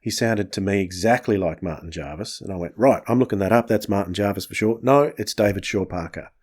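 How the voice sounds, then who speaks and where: A man's voice: fairly low pitch, a little fast, a little mellow. A man hosting a podcast, chatting casually as he reviews. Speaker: podcast host.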